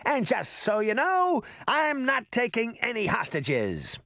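A man voicing a cocky, mischievous fairy boy in a children's fantasy audiobook, boastful, taunting and loud. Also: very high-pitched, very animated, unhurried, no echo, clean studio sound, pitched above the performer's own voice, heavily acted. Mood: gleeful, menacing. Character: cocky mischievous immortal fairy boy, gleefully rebellious trickster.

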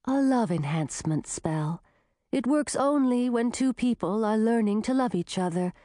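A woman's voice: a little high, no room sound, studio quality, lightly acted. A woman voicing a cool, knowing forest spirit. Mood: calm knowing. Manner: calm, measured, knowing.

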